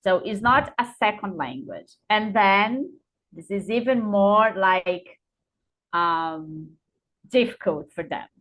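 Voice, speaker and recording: A Brazilian woman who teaches English speaks in a Brazilian Portuguese accent at a slow, unhurried pace. She answers questions thoughtfully, pausing and restarting often. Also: mid-range voice, lively intonation, fairly bright tone, poor audio. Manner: thoughtful, many uh fillers and restarts. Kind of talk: answering students' questions over a video call.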